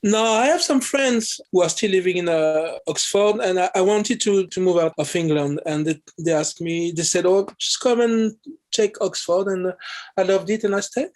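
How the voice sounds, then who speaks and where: High-voiced, hesitant Frenchman. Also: moderate pace, a little mellow. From Paris, France. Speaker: musician, interview guest. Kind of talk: casual interview chat.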